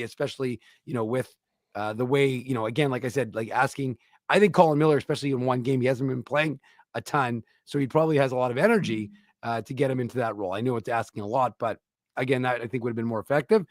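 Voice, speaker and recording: A man in the middle of a casual sports-radio discussion, talking at an ordinary pitch. He rambles and restarts his sentences as he works through his thoughts, and the close, dry studio sound leaves no room echo. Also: quick pace. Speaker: radio show co-host. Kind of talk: casual sports talk analysis.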